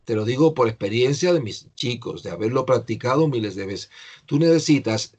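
A man's voice: mid-range voice, expressive, a little fast, darkish tone, breathy edge, highs cut off. An older man teaching English in an online class, patiently explaining pronunciation to a student over a video call.

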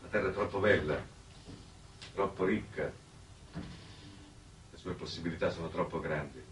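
An actor playing a weary, resigned exile from Earth in a 1960s television drama, speaking in a measured, grave way. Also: male voice, deep voice, lightly acted. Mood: grave, impassioned. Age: middle-aged. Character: weary, resigned, fatalistic man exiled on another planet. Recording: noisy old 1960s TV broadcast soundtrack.

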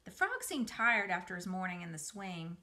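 Bright-voiced woman reading a storybook. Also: lowish voice, normal speed. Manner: expressive, dramatized reading. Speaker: museum educator reading aloud. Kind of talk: children's storybook narration. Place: small room by a window, indoors.

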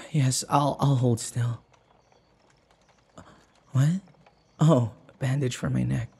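A man playing a timid, submissive human in an intimate audio roleplay, halting and stammering, with a breathy, husky voice. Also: medium pitch, steady tone, warm tone, slight background noise. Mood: bewildered, meek.

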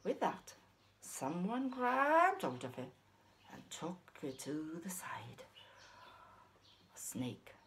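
Children's storyteller: middle-aged woman, unhurried, animated intonation, drawn-out phrases. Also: lowish voice, a little hiss or hum. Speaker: puppeteer and storyteller. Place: small living room, indoors.

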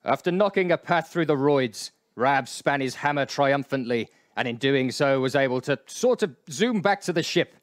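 A man's voice: medium pitch, expressive, normal speed, few pauses, no room sound, studio quality. A man narrating a comic radio play with wry asides.

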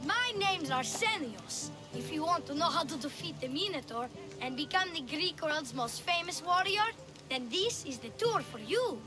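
A woman's voice: high-pitched, expressive, normal speed. A woman voicing a young boy who is a confident, salesy tour guide and huckster, in a video game. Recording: game music underneath.

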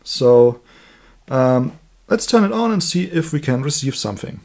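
A man with a slightly husky voice giving an unscripted hands-on electronics demonstration, explaining step by step as the presenter. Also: medium pitch, big pitch swings, a little slow, darkish tone. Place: indoors at a table.

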